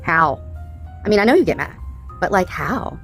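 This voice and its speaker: Lower-pitched woman giving opinionated reaction commentary. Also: very animated, moderate pace, soft background music. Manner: emphatic repetition, rhetorical questions. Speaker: commentary channel host reacting to clips.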